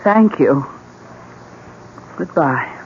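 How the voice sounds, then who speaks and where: Casting: probing, worried wife, radio mystery, dull broadcast with faint noise. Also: female voice, low voice, lively intonation, drawn-out words, heavily acted. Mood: subdued. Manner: probing, insistent. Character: insistent, worried wife questioning a witness. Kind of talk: 1970s radio drama acting. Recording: muffled, faintly noisy old radio.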